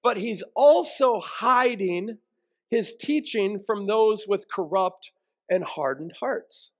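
A male pastor with a high-pitched voice delivers a teaching sermon. He explains in plain, conversational language, as if walking listeners through each point. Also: slow. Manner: conversational, plain-spoken explaining with rhetorical questions. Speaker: pastor preaching to a congregation.

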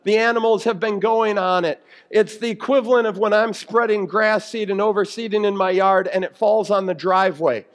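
High-pitched man preaching a conversational sermon. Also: steady tone, normal speed. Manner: explanatory, plain-spoken teaching with everyday examples. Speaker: pastor preaching.

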